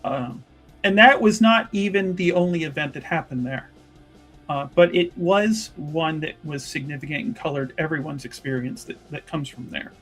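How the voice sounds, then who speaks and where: Guy playing a composed noblewoman in a fantasy rpg, measured n halting, polite but pointed, higher-ish voice, soft music behind. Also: husky. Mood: grave. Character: composed noblewoman confronting a rival mage, courteous but pointed.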